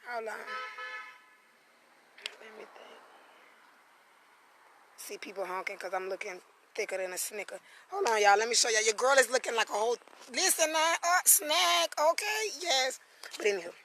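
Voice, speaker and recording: A hoarse woman from South Carolina, chatting loosely on a live-stream vlog outdoors on family land, greeting viewers by name as she goes. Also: fairly high pitch, expressive, normal speed, pauses often, background noise. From South Carolina, USA. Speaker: spiritual reader and vlogger. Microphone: phone camera.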